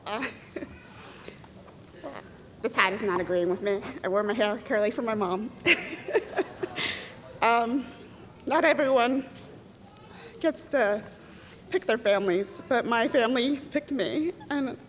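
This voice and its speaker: A woman's voice: on the high side, slow, pauses often, a bit bright. A woman giving a short, emotional graduation thank-you with nervous laughs at a podium microphone. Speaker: graduating student. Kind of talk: commencement speech. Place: graduation ceremony.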